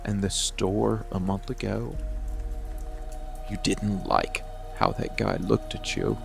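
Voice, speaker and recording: Man acting a gravelly, slow-murmuring monster boyfriend. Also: big pitch swings, exaggerated voice. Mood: calm, ominous. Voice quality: low, gravelly, breathy murmur often near a whisper. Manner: slow, deliberate delivery with long pauses. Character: possessive dark monster boyfriend, hidden protective watcher. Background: rain and music.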